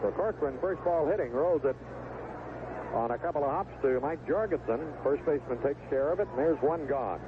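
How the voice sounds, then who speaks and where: A man's voice: on the high side, lively intonation, brisk, phone-quality sound. A man calling baseball play-by-play live on the radio, heard through a noisy old broadcast recording with stadium ambience behind him. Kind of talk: live radio sports commentary.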